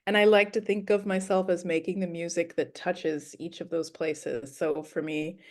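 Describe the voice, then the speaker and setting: A woman's voice: lowish voice, fairly even intonation, moderate pace. Ethiopian-born woman, thoughtful interview guest. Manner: thoughtful, warm storytelling with frequent ums and you-knows. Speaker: musician guest. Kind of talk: casual interview talk.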